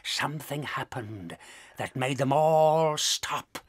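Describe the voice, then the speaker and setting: An actor playing an old grandfather who tells a story in a hushed, theatrical way, sometimes whispering. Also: mid-range voice, takes it slowly, breathy edge. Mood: storytelling. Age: older. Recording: audio drama with group chatter behind.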